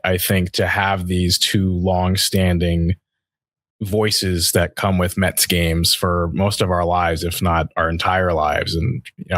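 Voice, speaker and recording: A man's voice: lowish voice, fairly bright tone, no echo. A man in his thirties co-hosting a sports podcast, talking casually in a reflective, conversational way.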